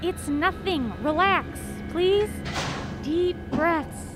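An actress playing a practical, breezily unflappable traveler with brisk banter in a comic radio drama. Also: female voice, very high-pitched, over music, heavily acted. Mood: reassuring, practical. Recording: clear vehicle noise behind.

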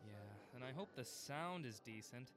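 A man's voice: medium pitch, animated, unhurried, crowd noise, lightly acted. An actor playing a playful male film buff who teases his friend in a naturalistic audio drama. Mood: friendly, eager. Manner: playful, needling banter. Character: teasing, upbeat male film buff, sound-business friend.

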